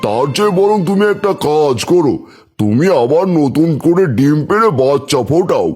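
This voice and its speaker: A pompous tiger king played by a man in a somewhat high male pitch, smug and gluttonous. It is fairy-tale cartoon acting with music in the background. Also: animated, moderate pace, darkish tone, husky. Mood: mock sympathy. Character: self-serving, gluttonous tiger king of the animals.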